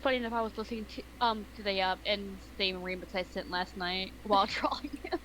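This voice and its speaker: A woman's voice: mid-range voice, a little fast, a bit bright, slight background noise, low-quality recording. A woman chatting casually on a voice call, rambling with fillers and small laughs. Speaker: partner calling in on voice chat. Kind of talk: casual chat.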